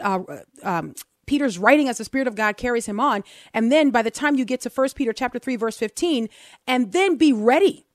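A woman's voice: fairly high pitch, no echo. Playful woman radio host in a dry studio. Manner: animated, playful, joking banter.

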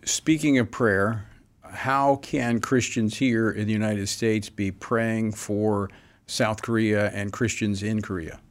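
A male talk show host with a somewhat low voice. He reads news and poses earnest questions at a measured pace, sometimes restarting a phrase. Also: steady tone. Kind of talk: broadcast interview.

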